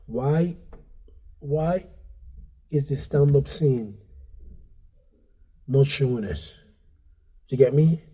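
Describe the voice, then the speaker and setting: Nigerian man, dark husky voice. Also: medium pitch, takes it slowly, long pauses. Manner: animated, loose, many fillers and repeated words. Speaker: comedian and comedy club owner. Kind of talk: casual interview chat.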